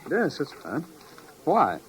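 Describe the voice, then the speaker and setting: A man playing an unruffled, measured and coolly polite crime suspect in a 1950s radio drama. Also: on the high side, wildly swooping pitch, heavily acted. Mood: cool, evasive. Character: smooth-talking, unruffled male suspected syndicate gambler. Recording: noisy old radio recording.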